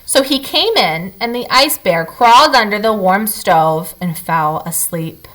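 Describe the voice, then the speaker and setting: A woman reading a children's picture book aloud as a storyteller, with expressive, dramatic emphasis for young listeners. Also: mid-range voice, unhurried, low-quality recording.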